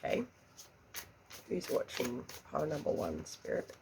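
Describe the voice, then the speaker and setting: A woman's voice: rumbling low, big pitch swings, a little slow, gravelly, background noise. A woman giving a pick-a-card tarot reading, chatty and a little congested, murmuring questions to spirit under her breath. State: congested after an illness. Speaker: tarot reader.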